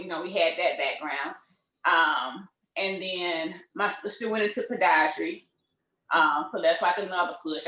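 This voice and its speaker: A middle-aged woman, speaking in a fairly low voice. She tells her story in an easygoing way, full of ums and you-knows. The recording has the echo of a room. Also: sharp tone. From Mississippi. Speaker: pediatrician, interview guest. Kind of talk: casual interview conversation. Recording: echoey room.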